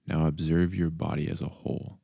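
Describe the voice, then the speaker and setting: Super deep gravelly guy doing calm guided meditation, slow deliberate phrases, really dry sound. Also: no echo. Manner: calm, deliberate, soft instructional delivery with pauses. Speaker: mindfulness coach.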